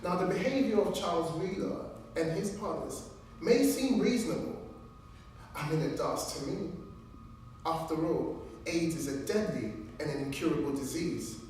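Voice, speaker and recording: A man playing an earnest trial lawyer in a theatrical courtroom monologue, measured and emphatic, with dramatic pauses. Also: a little high, darkish tone, lightly acted. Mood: earnest, persuasive. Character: earnest, deliberate trial lawyer addressing a jury. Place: large echoing performance room, distant microphone. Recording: echoey hall from far off, faint noise.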